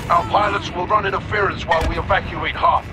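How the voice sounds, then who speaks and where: A man's voice: sits high, steady tone, fast, very noisy, natural voice. A man playing a determined, weary rebel major in a video game, giving urgent, clipped military briefings. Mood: urgent, resolute. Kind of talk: scripted game mission briefing.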